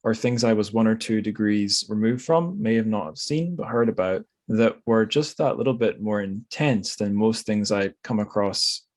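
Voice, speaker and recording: A man's voice: lowish voice, moderate pace, few pauses. A man hosting a conversational literary interview, hesitant and rambling as he thinks aloud. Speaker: podcast host.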